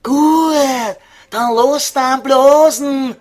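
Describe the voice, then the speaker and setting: A man's voice: very high-pitched, exaggerated voice. A man voicing a crude, scheming devil who taunts and coaxes in Viennese dialect in a comic radio play. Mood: sly coaxing. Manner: slow, sly, vulgar coaxing.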